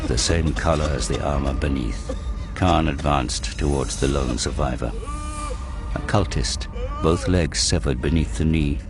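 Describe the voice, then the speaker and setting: A man giving grave, intense scripted narration for an audio drama. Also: a bass voice. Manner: intense, grave, literary delivery. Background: prominent music and sound effects.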